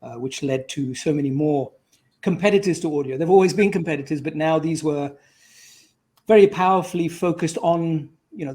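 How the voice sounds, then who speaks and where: Male interview host, slightly high voice, conversational and effusive delivery. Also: mellow, breathy edge.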